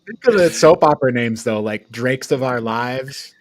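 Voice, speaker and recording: Mid-pitched man reviewing, very dry sound. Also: lively intonation, moderate pace, no room sound. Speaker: podcast co-host. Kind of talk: casual opinionated film review.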